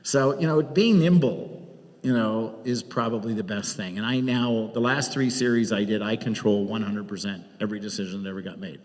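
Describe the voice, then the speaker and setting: A man's voice: lowish voice, brisk, darkish tone. A man, a TV producer on a live panel, telling work anecdotes in an emphatic, conversational way. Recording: echoey hall with long reverb.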